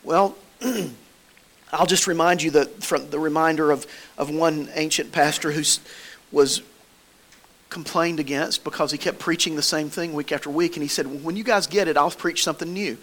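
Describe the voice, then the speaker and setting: A man's voice: on the high side, steady tone, moderate pace, fairly bright tone. A man with a Southern American accent preaching a sermon in a church, folksy and conversational, with homespun stories. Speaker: pastor.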